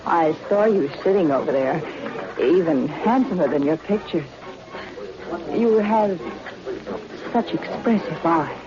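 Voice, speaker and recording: A woman's voice: fairly low pitch, lively intonation, slow pace, long pauses, muffled recording, a crowd in the background. A woman playing a seductive, scheming spy, coy and gushing, in a 1940s radio adventure. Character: seductive, scheming female spy, flattering and falsely sweet. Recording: band-limited old radio recording.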